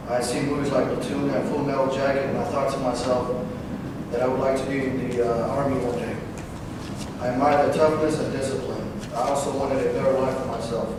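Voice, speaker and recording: A man in his twenties from Northern California, a veteran giving a personal talk, halting at times with fillers and self-corrections. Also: mid-range voice, flat delivery. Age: 20s. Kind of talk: personal speech read to an audience. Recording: distant, echoey hall recording with background noise.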